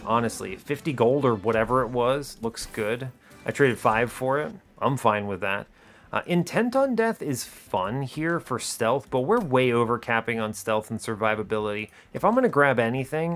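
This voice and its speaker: A man gives casual, breezy gameplay commentary in a mid-pitched voice. He thinks out loud in a chatty way, with a wry, understated delivery. Faint music plays behind him. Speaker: host of an educational gaming video series.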